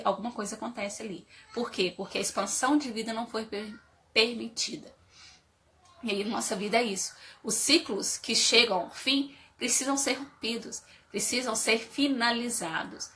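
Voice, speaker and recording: Bright, nasal woman with a cold. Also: a little high. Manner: asks the audience many rhetorical questions, explains step by step. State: has a cold. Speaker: speaker giving a live talk on life stages. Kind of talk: informal motivational talk to an online audience.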